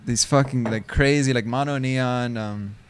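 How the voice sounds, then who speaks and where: A man's voice: medium pitch, fairly even intonation, measured pace, slight background noise. Easygoing young musician in his twenties. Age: 20s. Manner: easygoing, slangy, mixes in a little Spanish. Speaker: band member. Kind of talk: casual chat.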